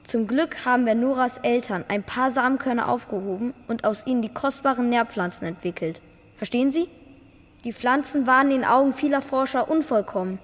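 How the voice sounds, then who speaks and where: Woman acting as eager, high-voiced young boy. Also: a little fast, natural voice. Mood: pleading, earnest. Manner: eager, earnest, youthful slang. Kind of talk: radio play acting. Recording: reverberant hall, music behind.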